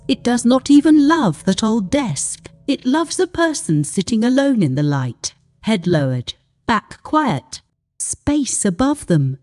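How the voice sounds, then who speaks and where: Woman narrating horror, lively pitch, darker tone.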